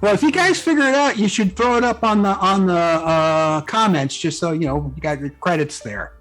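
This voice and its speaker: A man with a notably high voice chats as a guest author. His talk is casual and colloquial, full of little check-ins with the listener, over background music. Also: moderate pace, a bit bright. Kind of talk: casual conversational chat.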